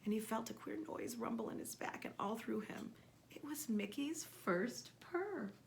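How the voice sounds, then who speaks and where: A woman reading a children's story aloud expressively and emphatically, pausing to ask a child questions. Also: on the high side, vocal fry, a little hiss or hum. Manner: expressive, emphatic, with repeated words for effect.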